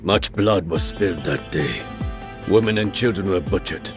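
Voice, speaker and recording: An actor playing an aging underworld elder in a video game dub, speaking formally and with measured, bitter courtesy. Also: male voice, low-pitched, over music. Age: older. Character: aging male immigrant crime elder, courteous, bitter, quietly menacing.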